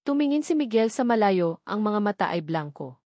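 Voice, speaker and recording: Female narrator for dramatic love stories. Solemn, suspenseful, measured delivery, mid-range voice, very dry recording. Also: mid-range voice, very animated, warm tone, dry recording. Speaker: narrator of a romance drama story. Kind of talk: scripted dramatic story narration.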